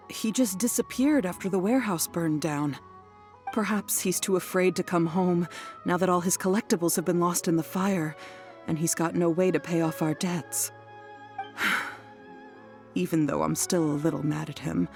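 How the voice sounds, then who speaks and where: Tired worried wife in a game, woman voicing her, lowish voice, sighs a lot, earnest. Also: normal speed, long pauses, darkish tone, faint music behind, lightly acted. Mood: weary. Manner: weary, sighing, earnest. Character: troubled middle-aged wife of a missing hoarder. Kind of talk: game cutscene voice acting.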